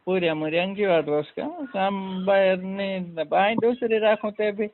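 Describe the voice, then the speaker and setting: A man with a creaky voice talking casually on a phone call in a rambling, repetitive way. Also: high voice, moderate pace, no room sound. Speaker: prank call participant. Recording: narrow phone line with faint noise.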